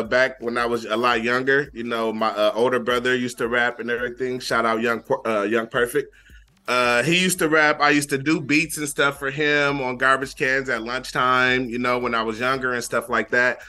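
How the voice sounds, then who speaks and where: A young man from Flint, Michigan, talks in a loose, laid-back way, with a bright, forceful voice. He repeats himself and leans on fillers as he tells his story in a casual interview. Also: mid-range voice. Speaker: guest rapper.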